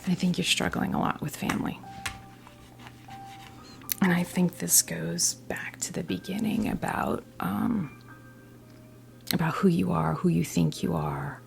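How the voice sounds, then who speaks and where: A woman in her fifties speaks in a hushed, breathy whisper that slips into heavy vocal fry. Her voice sits fairly low and she reads tarot in a soothing ASMR style, with frequent pauses. Music plays behind her. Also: steady tone. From New Jersey, United States. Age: 50s. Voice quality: breathy whisper mixed with soft creaky voice. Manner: slow, halting, pausing often, reassuring. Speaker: ASMR performer giving a tarot reading. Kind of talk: whispered ASMR role play, unscripted tarot reading.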